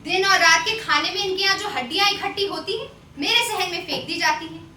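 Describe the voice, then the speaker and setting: A bitter, proud courtesan acted by a woman declaims in a very high, bright voice. She scolds like someone long fed up. The stage recording sounds distant, with some noise. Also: a little fast, reverberant, heavily acted. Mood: angry, scornful. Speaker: stage actor. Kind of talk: Hindi stage play acting.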